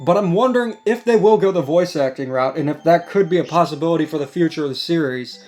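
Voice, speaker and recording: Male discussion host, high-pitched voice, casual conversational delivery, light music underneath. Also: fast talker, a little mellow.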